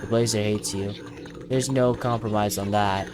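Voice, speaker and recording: A man's voice: on the low side, steady tone, normal speed, crowd noise. A man commentating casually on his own video game playthrough, thinking aloud as he plays.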